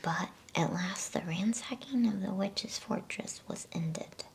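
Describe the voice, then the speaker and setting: A woman reading a bedtime story as ASMR in a soft, hushed, unhurried whisper. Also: medium pitch, gravelly.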